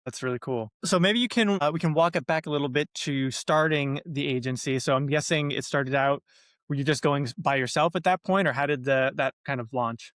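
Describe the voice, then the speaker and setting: A man hosting a podcast interview, asking relaxed questions with plenty of hesitations. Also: on the high side, brisk, no echo, studio quality. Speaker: podcast host.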